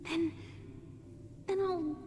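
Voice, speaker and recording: A woman's voice: extremely high pitch, fairly even intonation, drawn-out words, background noise, heavily acted. A woman voicing an innocent, earnest little village boy in a video game, with a childlike manner. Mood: troubled, reluctant. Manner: childlike, eager, hesitant. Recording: reverberant hall, music underneath. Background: loud music.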